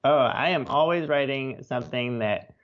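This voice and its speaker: A man's voice: medium pitch, normal speed. A man giving plain-spoken, easygoing answers as a guest in a casual interview, on slightly dull lo-fi sound. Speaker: interview guest, nature writer.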